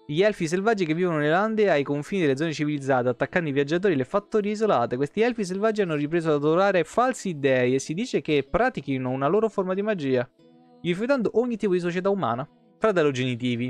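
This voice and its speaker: A man reads game lore aloud on a live stream. He slips between narration and casual asides in an informal way. Soft plucked guitar music plays behind him. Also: a little high, lively intonation, quick pace. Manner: informal, breaks off to comment. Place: indoor home streaming setup.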